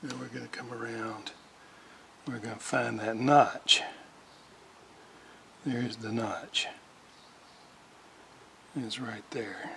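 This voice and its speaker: Slow-talking man thinking aloud while working. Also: mid-range voice, fairly even intonation, gravelly, noisy background. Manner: halting, muttering to himself while working. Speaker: hobbyist radio restorer, presenter. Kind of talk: casual hands-on repair walkthrough, thinking aloud.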